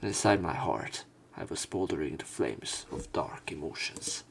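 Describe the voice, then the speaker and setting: A man reading visual novel text aloud as a let's player, in a measured, serious manner. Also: deep voice, lively intonation, gravelly, noisy background.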